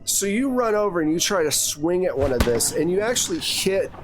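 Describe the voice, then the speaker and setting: A man's voice: high voice, very animated, a little fast. Man running a tabletop game. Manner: conversational second-person narration, casual asides to players. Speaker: game master. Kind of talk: narrating a tabletop horror game to players.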